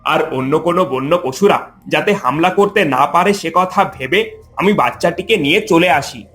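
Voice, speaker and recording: A man's voice: fairly high pitch, moderate pace, fairly bright tone, faint music behind, close to an everyday voice. A man voicing a kind-hearted, protective jungle fox in a cartoon story, with an earnest, plaintive delivery. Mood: troubled, plaintive.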